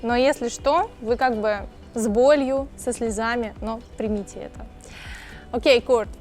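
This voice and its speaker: Russian-accented woman hosting, high voice. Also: normal speed, darkish tone, faint music behind. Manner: simple broken English questions, fluent in her native language. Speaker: travel show host. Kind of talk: vlog narration and interviewing.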